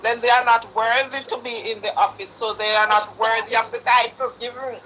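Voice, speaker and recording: Bright-voiced, insistent woman. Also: fairly high pitch, steady tone, fairly quick, slight background noise, low-quality recording. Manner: insistent, repeats points for emphasis. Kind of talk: live political discussion.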